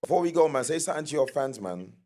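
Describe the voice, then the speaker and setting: A man's voice: a little high, sing-song, fast talker, warm tone. A man hosting a podcast in casual, informal slang, putting questions to a guest. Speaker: podcast host. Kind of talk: casual chat.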